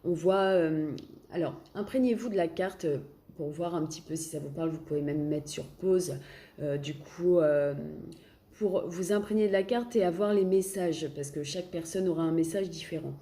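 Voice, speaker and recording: A woman's voice: lowish voice, normal speed, mellow. A woman with a French accent and a cold, giving a casual tarot reading, often hesitating and searching for words. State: has a cold. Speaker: tarot card reader. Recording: echoey room, faint background noise.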